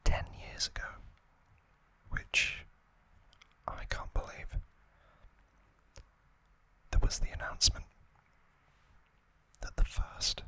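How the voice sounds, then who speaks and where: Young guy doing asmr, all whispered, super slow lil phrases, close on the mic, sharp breathy sound. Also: rough recording. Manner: hushed, slow, deliberate delivery in short separated phrases. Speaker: host of an ASMR review series. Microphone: close ASMR microphone.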